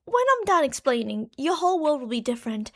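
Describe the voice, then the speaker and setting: A woman acts out an obsessive female stalker who is madly in love. Her voice is high, and she speaks straight to the listener in an ASMR roleplay. She coaxes and explains fondly, leaning on words theatrically. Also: sing-song, fairly quick, fairly bright tone, exaggerated voice. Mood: earnest, insistent. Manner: coaxing, explanatory, fond pet names, theatrical emphasis. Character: lovestruck obsessive female stalker from a parallel universe.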